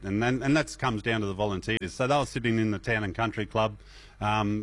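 A middle-aged Australian man with a fairly low voice, talking casually as a radio guest. He rambles easily, with plenty of ums and restarts. The sound is close and dry. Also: no room sound. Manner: relaxed and chatty, many fillers and restarts, laughs easily. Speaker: festival MC, interview guest. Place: radio interview.

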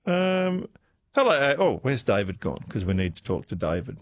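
A man hosting a talkback radio quiz, chatty and playful and quick to laugh, taking callers in a studio. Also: mid-range voice, sing-song. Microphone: studio microphone.

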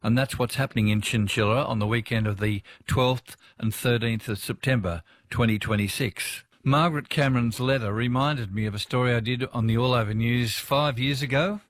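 A man's voice: mid-range voice, moderate pace, few pauses, dry recording. A man with an Australian accent, presenting and interviewing as a radio host.

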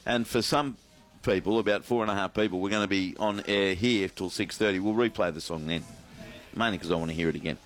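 A man's voice: deep voice, fast. An Australian man hosts a sports radio broadcast in a loose, chatty way. He jokes with his co-hosts and reads listener messages aloud between updates. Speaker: radio sports show host. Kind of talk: casual sports radio banter.

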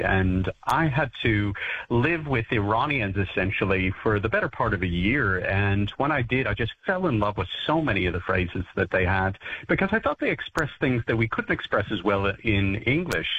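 A man's voice: on the low side, phone-quality sound, slight background noise. A man, a linguist guest on a radio show, explaining phrases he loves with enthusiasm. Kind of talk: radio interview.

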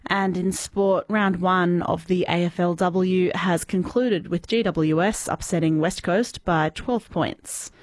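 An Australian woman with a loud voice, reading a radio news bulletin and then chatting cheerfully in a studio. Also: lowish voice, fairly even intonation, slow pace, few pauses, dry recording. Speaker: newsreader. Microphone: studio microphone.

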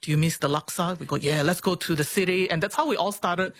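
A woman's voice: on the low side, quick pace, no echo. A woman in her forties with a Singaporean accent, talking earnestly and expressively about herself as an interview guest. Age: 40s. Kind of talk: reflective personal interview.

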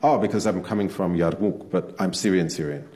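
A man speaking as a guest on a panel, halting and full of fillers and restarts. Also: sits low, dark tone. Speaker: author guest. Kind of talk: panel discussion. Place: on stage before an audience.

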